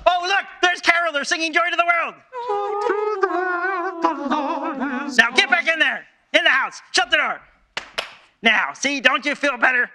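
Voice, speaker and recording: A man playing a bossy drill-sergeant general in a mock radio ad, barking brisk fitness commands at children. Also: very high voice, noisy background. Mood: peppy, bossy.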